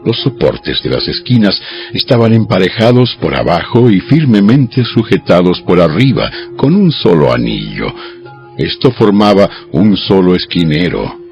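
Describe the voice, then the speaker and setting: A man narrates dramatized scripture with an extremely low, gravelly voice. His delivery is measured and solemn, like formal storytelling. Music plays softly behind a slightly muffled recording. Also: big pitch swings. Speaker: Bible narrator. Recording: dull lo-fi audio over music.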